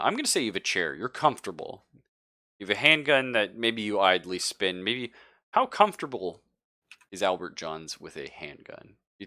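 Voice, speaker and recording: A man's voice: sits low, pushed and bright. A man narrating a tabletop roleplaying game as game master, casual and joking as he improvises.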